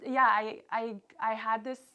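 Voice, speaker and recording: A woman's voice: on the high side, flat delivery, unhurried, pushed and bright, lo-fi sound. A young adult woman from Canada, a PhD student, chatting loosely in an interview with frequent laughs and restarts. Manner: chatty, rambling, laughs often. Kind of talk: casual conversational interview.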